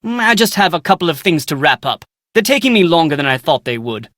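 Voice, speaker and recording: Animated, pedantic bookish historian. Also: male voice, on the high side, quick pace, no echo, clean studio sound. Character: bookish, pedantic male historian in a manor library. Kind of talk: game character dialogue.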